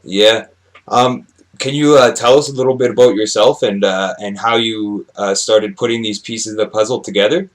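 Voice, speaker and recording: Male show host, lowish voice, casual and agreeable, somewhat halting interview delivery. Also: fairly even intonation.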